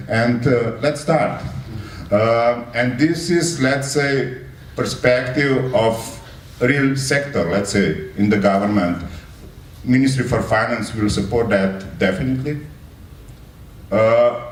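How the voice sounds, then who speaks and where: A man speaking in an unscripted public address, halting and often hedging. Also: medium pitch, distant mic. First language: not English. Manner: halting, hedging with frequent 'let's say'. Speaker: panel speaker. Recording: echoey room from afar, faint noise.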